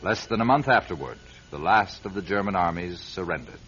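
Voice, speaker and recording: A man narrating a radio drama in a grave, deliberate storytelling manner. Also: low voice, expressive, a bit bright. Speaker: narrator and writer-director. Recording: dull lo-fi radio recording.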